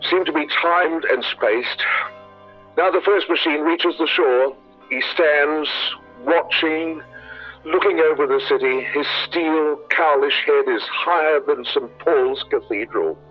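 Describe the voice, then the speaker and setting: An actor playing a shaken radio news reporter in a 1930s-style radio drama, giving a halting eyewitness bulletin. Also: male voice, on the high side. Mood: tense, halting. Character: shaken radio reporter watching the invasion of London. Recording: tinny band-limited radio sound, music.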